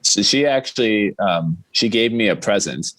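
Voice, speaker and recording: A man's voice: on the low side, animated, moderate pace, a bit bright, no echo, low-quality recording. A man telling personal stories in a casual, unpolished way as a podcast guest. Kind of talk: casual chat with personal anecdotes.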